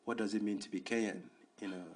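A man's voice: lowish voice, fairly even intonation, quick pace. A young man from Nigeria hosting a casual conversation, pondering questions unhurriedly with many "you know"s. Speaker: host.

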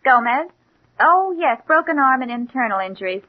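An actress playing a young night nurse, polite and helpful, in a 1940s radio drama. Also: female voice, high-pitched, lively intonation, moderate pace. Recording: muffled old radio recording.

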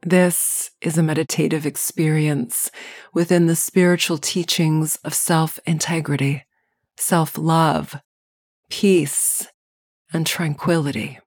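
A woman podcast host narrating a scripted guided meditation in measured, deliberate phrases. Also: low voice, darkish tone, no room sound, studio quality.

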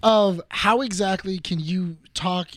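A man's voice: sits high, steady tone, a little slow, dry recording. A man co-hosting a casual podcast chat, arguing his point earnestly with many restarts. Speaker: podcast co-host.

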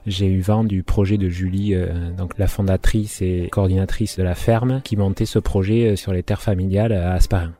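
A man from Béarn, a market gardener who supervises farm workers, speaking informally in an interview and often pausing to think. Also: low voice, steady tone. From Béarn, France. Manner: informal, thinks aloud with frequent hesitations. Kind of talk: relaxed interview talk.

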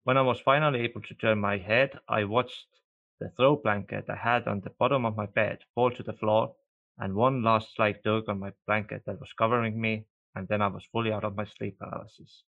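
Gravelly-voiced Estonian man hosting a podcast. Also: lowish voice, fairly even intonation, a little fast. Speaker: podcast host. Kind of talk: casual interview chat. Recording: dull lo-fi audio.

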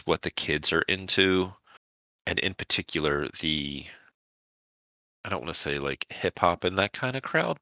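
A man working as game master, narrating a tabletop vampire roleplaying game in a measured, explanatory way. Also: a bass voice, gravelly, pushed and bright, dry recording, lo-fi sound.

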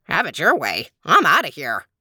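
A woman's voice: sits low, wildly swooping pitch, normal speed, pushed and bright, husky, no echo, clean studio sound, heavily acted. A woman voicing a nonchalant talking frog in a folktale, casual and offhand.

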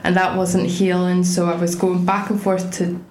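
A woman's voice: on the low side, monotone, normal speed, a little mellow. Chatty young woman, echoey room. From Scotland. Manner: chatty, wry storytelling. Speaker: guest. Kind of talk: casual chat. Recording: echoey room with faint background noise.

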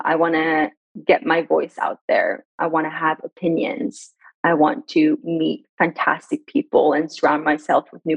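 Deep, gravelly woman guest, earnest. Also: no echo, muffled recording. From Sweden. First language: Farsi. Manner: earnest, reflective, repeats key phrases. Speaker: guest, brand director. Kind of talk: reflective podcast conversation.

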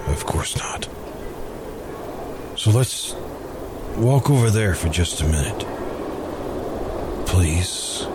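A man playing a polite, grieving confessed murderer in a hushed, pleading manner, in the style of old-time radio suspense. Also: sits low, expressive, extremely slow, pauses often, very noisy, pitched below the performer's own voice, heavily acted. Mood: quiet pleading.

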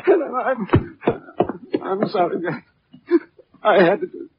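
An actor playing an anguished brother turned reluctant avenger, emotional and pleading, in 1940s radio melodrama style. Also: male voice, sits high, slow pace, a little hiss or hum. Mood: distraught. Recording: muffled, dull 1940s radio recording.

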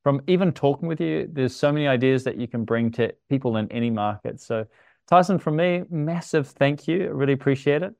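A male podcast host with a gravelly edge under an otherwise smooth, clear tone, heard close and dry with no room sound. He affirms his guest often with brief acknowledgements. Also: medium pitch, fairly quick, darkish tone, dry recording. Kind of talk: podcast interview.

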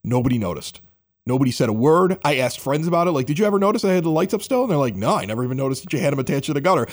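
A man's voice: mid-range voice, big pitch swings, rapid-fire. A man hosting a local podcast in a chatty, conversational style. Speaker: podcast host. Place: home basement bar studio.

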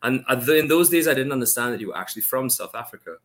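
A man's voice: medium pitch, animated, rapid-fire, a bit bright. A middle-aged man from Trinidad and Tobago with a Trinidadian accent, a podcast guest chatting casually and rambling with many restarts. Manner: rambling, full of restarts and fillers.

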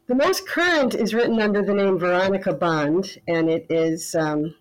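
A woman author talking as a podcast guest, thoughtful and measured, now and then pausing on fillers. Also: medium pitch, low-quality recording. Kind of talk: casual interview chat.

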